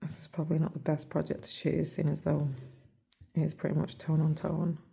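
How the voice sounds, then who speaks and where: An English woman with a hoarse, rough voice, talking softly and almost in a whisper into her phone while she stitches. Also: sits low, completely flat delivery, fairly quick. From England. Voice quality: soft, hushed, near-whispered voice. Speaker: cross-stitch vlogger. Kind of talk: casual chat while stitching.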